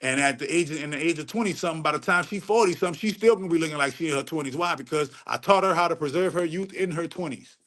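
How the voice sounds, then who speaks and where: A middle-aged man on a casual livestream rant, preachy and profane, repeating phrases for emphasis and climbing sharply in pitch when he gets heated. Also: rapid-fire, few pauses, fairly bright tone. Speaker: livestream host answering chat.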